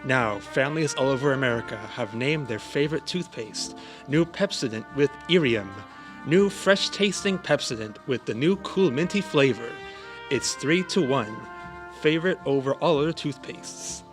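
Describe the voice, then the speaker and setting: A man's voice: medium pitch, expressive, moderate pace, over music. A man announcing a radio program and its sponsor in an old-time radio style.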